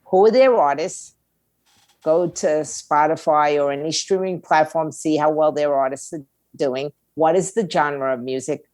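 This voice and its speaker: A middle-aged woman with a slightly low voice, recorded close and dry. She explains things as an interview guest in a candid, plain-spoken way, in conversational sentences. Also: measured pace, a little mellow, dry recording. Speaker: guest, music industry attorney.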